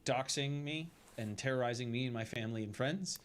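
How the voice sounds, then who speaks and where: A man's voice: mid-range voice, fairly quick, a little hiss or hum. A man delivering an earnest, indignant monologue straight to his viewers, speaking emphatically as an online creator.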